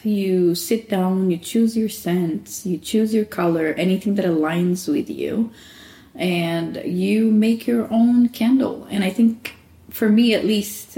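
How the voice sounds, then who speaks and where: A woman's voice: on the low side, reverberant. A woman from Angola who owns a candle and soap shop, answering interview questions in a casual, chatty way with frequent laughs. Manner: warm laughing, chatty with self-quoting.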